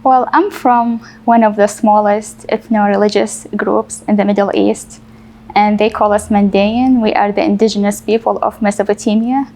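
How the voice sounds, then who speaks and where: A woman's voice: medium pitch, fairly even intonation, moderate pace, a little mellow. A young woman from Iraq, a painter, answering interview questions in an earnest, plain way.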